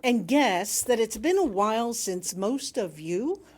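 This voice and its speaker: A woman reading a sermon aloud to a congregation in a measured, emphatic way with wry humour. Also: fairly high pitch, lively intonation, a little mellow. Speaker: reader delivering another minister's sermon.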